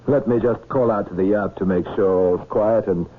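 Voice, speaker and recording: A man's voice: on the low side, normal speed, natural voice. A man playing a weary, dutiful police inspector in a vintage radio drama, plain and matter-of-fact but apologetic. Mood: weary, conciliatory. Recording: tinny, faintly hissy old radio recording.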